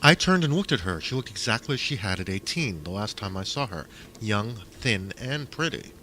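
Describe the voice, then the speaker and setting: A man's voice: fairly low pitch, sing-song, moderate pace, pushed and bright, rough recording. A man reading a first-person story aloud as a dramatic audiobook narrator. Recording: faint noise behind the voice.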